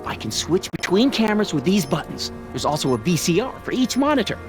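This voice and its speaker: A man's voice: sits high, big pitch swings, fairly quick, music in the background. An actor voicing a nerdy, self-important middle-aged American father, fussy and officious, in a video game. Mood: proud, officious.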